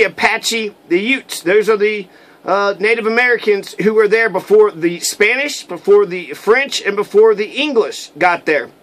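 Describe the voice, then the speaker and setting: A man's voice: high voice, fairly bright tone. A man giving an unscripted history talk, rambling and opinionated with profane asides. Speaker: history lecturer.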